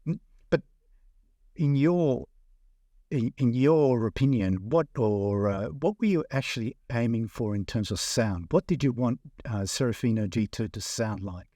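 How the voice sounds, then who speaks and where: Dry-sounding male interviewer, medium pitch. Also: unhurried, dark tone, no room sound. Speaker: interviewer, audio magazine editor. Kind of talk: conversational interviewing.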